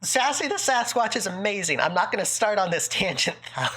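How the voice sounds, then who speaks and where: A woman's voice: mid-range voice, expressive, brisk, a bit bright, breathy edge. A woman hosting a casual group chat on a livestream, teasing her guests and laughing often. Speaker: stream host.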